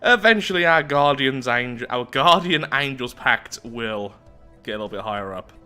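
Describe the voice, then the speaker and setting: A man hosts a roleplay playthrough of a strategy game in a bright, forward voice at a middling pitch. He is chatty and reasons aloud as he plays. Faint music runs behind his commentary. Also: animated, normal speed. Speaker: gaming YouTuber host.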